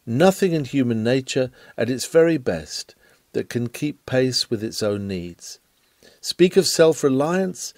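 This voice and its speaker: Earnest man preaching, very animated intonation. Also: medium pitch, dry recording. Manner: earnest, rhetorical, emphatic delivery. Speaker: sermon reader. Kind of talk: reading a 19th-century sermon aloud.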